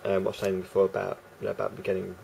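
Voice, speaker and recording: A man with a croaky voice talking casually to camera as a vlogger, rambling and hesitant with many fillers and restarts. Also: low-pitched, monotone, very fast, darkish tone, a little hiss or hum, rough recording.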